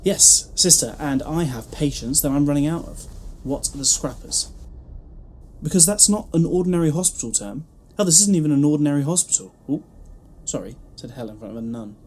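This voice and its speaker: A man's voice: fairly high pitch, lively intonation, brisk, a little mellow. A man playing a wry, weary wandering time traveller in an audio drama, flippant and dryly humorous. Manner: flippant, dry humour.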